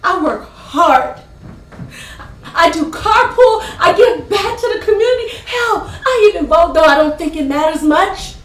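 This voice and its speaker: Actress doing proud fed up mom in a stage play, high voice, big swings, sarcastic and defiant. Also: female voice, lively intonation, a little slow, a bit bright, exaggerated voice. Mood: anguished, indignant. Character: proud, indignant struggling wife and mother applying for welfare. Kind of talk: theatrical stage play acting with dramatic monologue. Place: theater stage, recorded from a distance. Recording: echoey stage hall, far mic, faint noise.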